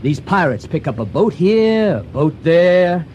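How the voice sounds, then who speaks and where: Glib, swooping young boat navigator. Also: male voice, sits high, big pitch swings, a little slow, a little mellow, a little hiss or hum. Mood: sly, glib. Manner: hearty, glib, over-reassuring. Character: folksy, slippery younger male boat navigator, menacingly friendly. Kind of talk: radio drama acting.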